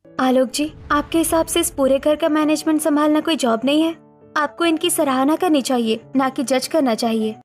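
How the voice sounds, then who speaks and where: Supportive, assertive young daughter-in-law female actor, high-pitched, animated family drama, music bed. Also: moderate pace, natural voice. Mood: firm. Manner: earnest, firm.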